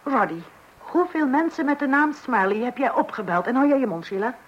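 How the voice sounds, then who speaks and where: A long-suffering young mother in a radio drama. Her fairly high voice is hesitant and placating, the voice of someone holding a household together. The old recording carries a light hiss. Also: expressive, brisk, muffled recording. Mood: stern. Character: soothing, long-suffering wife and mother of small children. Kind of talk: Dutch radio play acting.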